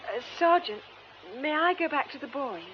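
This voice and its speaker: A woman playing a caring young actress in a radio drama, practical, polite and steady under shock. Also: sits high, moderate pace. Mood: concerned. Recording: dull radio recording, faint noise.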